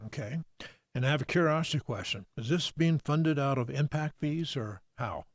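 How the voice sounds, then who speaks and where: A man's voice: on the high side, normal speed, no echo, studio quality. A man chairing a planning commission meeting, asking brief, procedural questions.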